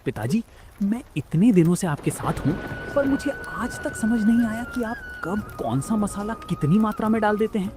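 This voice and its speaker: A man's voice: sits high, very animated, moderate pace. A young man playing a dutiful, respectful son who helps at a food stall in a cartoon story. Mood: earnest. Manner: earnest, respectful. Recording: music under the voice.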